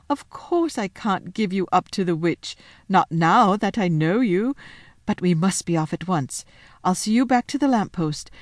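Woman voicing a tearful, high-pitched timid faun. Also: darkish tone, no echo, clean studio sound, close to an everyday voice. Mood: remorseful, resigned. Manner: fretful, pleading, dramatic. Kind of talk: children's storybook read aloud.

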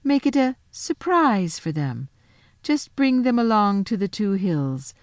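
A woman voicing a cold, scheming queen who speaks slowly and coaxingly. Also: medium pitch, big pitch swings, a little mellow, dry recording, clean studio sound, voice pushed up. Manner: slow, coaxing and commanding.

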